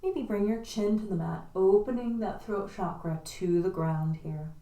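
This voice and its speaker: A woman leading a yoga class, giving calm, soothing step-by-step cues. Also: medium pitch, unhurried. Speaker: yoga instructor. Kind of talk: guided yoga class instruction. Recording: roomy echo, voice from a distance.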